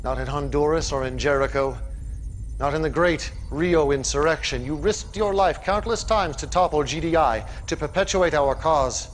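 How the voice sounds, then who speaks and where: An actor playing a middle-aged cult leader and warlord, delivering fervent, grandiose and deliberate oratory in a game cutscene. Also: male voice, fairly high pitch, a little hiss or hum, lightly acted. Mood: solemn, paternal. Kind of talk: dramatic monologue in a game cutscene.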